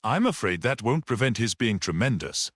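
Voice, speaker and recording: A man voicing a confident, worldly man in a mystery audiobook, with an animated conversational manner. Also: fairly low pitch, moderate pace, dry recording, clean studio sound, voice pushed up. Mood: assured. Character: confident, worldly man discussing a murder trial. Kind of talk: audiobook character reading.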